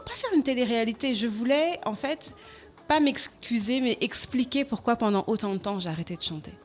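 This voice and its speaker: A woman singer talking casually in a radio interview, animated and quick to laugh. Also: fairly high pitch, soft background music.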